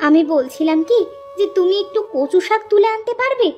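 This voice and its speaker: Very high-pitched fretful village mother played by a woman. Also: lively intonation, fairly quick, darkish tone, muffled recording, soft background music. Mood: concerned, coaxing. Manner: fretful, pleading, coaxing. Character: doting, worrying village mother and wife. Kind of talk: cartoon story dialogue.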